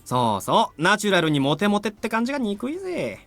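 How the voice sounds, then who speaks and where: A breezy teenage schoolboy from a visual novel, higher-pitched and very fast. His delivery swings up and down as he grumbles good-naturedly like a best friend. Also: wildly swooping pitch, a bit bright, faint music behind, heavily acted. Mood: mock grumbling. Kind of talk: Japanese visual novel voice acting.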